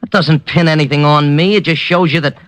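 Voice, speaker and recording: A man's voice: fairly high pitch, fairly even intonation, a little fast, fairly bright tone, no room sound, natural voice. An actor playing a smug, defensive male gossip columnist in an old radio drama. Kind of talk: 1950s radio drama acting.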